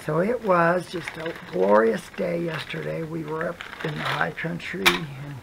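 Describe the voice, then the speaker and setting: Gravelly, hoarse adult rambling softly. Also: medium pitch, steady tone, measured pace, heavy background noise. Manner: rambling, soft-spoken, drifts into whispers. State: hoarse, voice cutting in and out. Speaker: craft vlogger. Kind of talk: casual chat while crafting.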